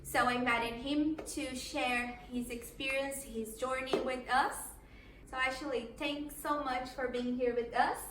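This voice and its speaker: A woman's voice: sits high, fairly even intonation, unhurried. A woman from Brazil, a native Portuguese speaker, hosting a casual interview in English in a friendly, slightly hesitant way. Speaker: host. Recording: roomy echo, mic at a distance, faint background hum.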